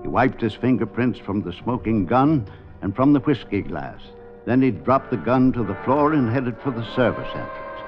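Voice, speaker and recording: An actor narrating a 1950s radio suspense drama in a wry, measured storytelling manner. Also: male voice, medium pitch, lively intonation, natural voice. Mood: measured, suspenseful. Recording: dull 1950s radio recording. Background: dramatic music.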